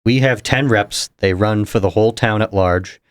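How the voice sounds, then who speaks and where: A young man from New Hampshire, a political candidate, explaining things in a measured, casual interview manner. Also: lowish voice, clear, resonant tone, no room sound. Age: young adult. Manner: measured, explanatory, chuckles between points.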